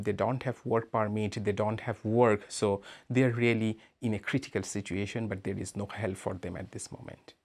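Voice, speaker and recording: Bangladeshi man, measured and hesitant. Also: fairly low pitch. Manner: measured, hesitant, many "uh" fillers. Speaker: migrant rights advocate. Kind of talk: interview statement.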